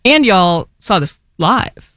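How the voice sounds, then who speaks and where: A woman's voice: mid-range voice, very animated, slow, fairly bright tone, no room sound, studio quality. A woman hosting a radio show, chatting casually and playfully, peppering her talk with "like.". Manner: playful, filler-heavy with "like". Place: radio studio. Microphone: studio mic.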